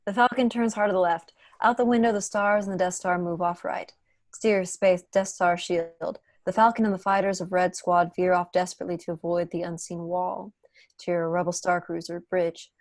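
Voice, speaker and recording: A woman's voice: lowish voice, steady tone, a little fast, darkish tone, no room sound. A young woman reading screenplay stage directions as scripted narration on a video call from home. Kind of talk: scripted narration at a table read.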